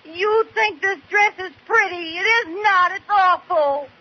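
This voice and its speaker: An American actress playing a scheming, wheedling young housewife with theatrical, exaggerated pleading in an old-time radio comedy. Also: female voice, very high-pitched, unhurried. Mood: tearful indignation. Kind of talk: 1940s-50s radio comedy acting. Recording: muffled, noisy old radio recording.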